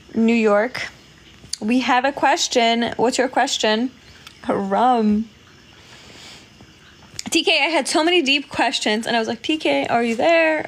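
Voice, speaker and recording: Slow-talking woman chatting on a livestream. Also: sits high. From Poland. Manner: chatty, giggly, thanks viewers often. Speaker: livestream host. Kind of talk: casual livestream chat reading comments.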